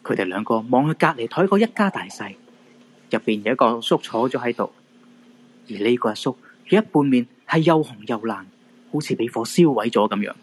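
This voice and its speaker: A man's voice: medium pitch, lively intonation, brisk, slightly rough, rough recording. A man with a Hong Kong Cantonese accent narrating a radio drama in a dramatic scripted storytelling style.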